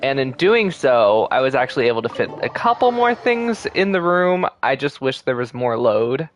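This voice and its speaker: A man livestreaming a game, chatting casually with viewers and thinking aloud in a relaxed way. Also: mid-range voice, normal speed. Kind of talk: casual live gameplay chat with viewers. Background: video game music.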